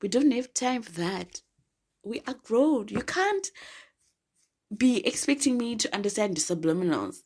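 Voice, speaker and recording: A young woman from Zimbabwe hosting her podcast, slightly raspy from a cold, chatting casually, laughing often and getting emphatic. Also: on the high side, lively intonation, measured pace, darkish tone. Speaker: podcast host. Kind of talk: casual solo podcast talk.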